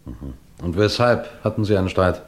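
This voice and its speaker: Ein Schauspieler spielt in einem Krimihörspiel einen scharfsinnigen, befehlsgewohnten Kriminalrat, der bedächtig fragt und anordnet. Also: male voice, low voice, expressive. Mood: probing. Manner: commanding, measured, questioning. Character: authoritative, shrewd police detective superintendent, first-person narrator. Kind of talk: German radio crime play acting.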